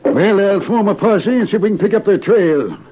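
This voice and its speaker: 西部口音的乡土老派警长，声音偏高. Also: male voice, high voice, expressive, a little fast. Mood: exasperated, resolute. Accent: American Western. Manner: drawling, folksy. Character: folksy, exasperated old-West sheriff. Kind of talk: old-time radio western acting. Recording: dull, noisy old radio recording.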